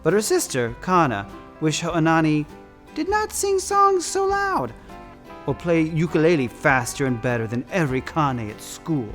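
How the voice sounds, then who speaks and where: A man with a high voice performs as a drag queen storyteller. The delivery is theatrical and effusive, full of campy endearments like a fond stage host. Faint music plays along with the voice. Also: moderate pace. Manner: effusive, campy, often calls listeners "darlings"; dramatic, expressive delivery. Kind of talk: theatrical read-aloud of picture books with chatty asides.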